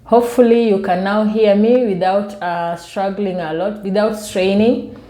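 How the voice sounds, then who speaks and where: A soft-spoken woman with a Kenyan accent chats casually to her viewers, telling personal stories in a self-amused way. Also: mid-range voice, fairly even intonation, measured pace, reverberant. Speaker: YouTube vlogger. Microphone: newly bought external microphone.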